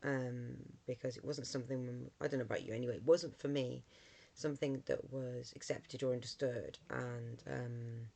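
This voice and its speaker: A middle-aged vlogger with a hoarse voice from a cold, talking casually to camera with many ums and restarts. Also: mid-range voice, steady tone, moderate pace, mellow.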